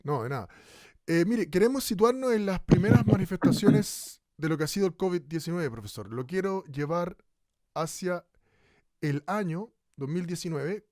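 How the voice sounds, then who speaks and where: An actor playing a polite, earnest radio host conducting a formal live interview in a radio drama. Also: male voice, high-pitched, animated, moderate pace, a little mellow, no echo. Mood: courteous, formal. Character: courteous, earnest male radio interviewer in troubled times.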